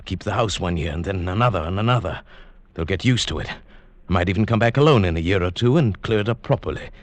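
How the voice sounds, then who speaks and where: A gloating murderous professor. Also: male voice, sits low, expressive, fast talker, no room sound. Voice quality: smooth, quietly gloating. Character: scheming middle-aged male professor, cold wife-murderer. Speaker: narrator and lead character. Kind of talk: 1940s radio drama acting. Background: music.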